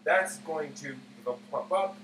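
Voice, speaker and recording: A man hosting a casual cooking live stream, explaining steps haltingly as he thinks aloud. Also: fairly high pitch. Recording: roomy, far mic, clear background hum.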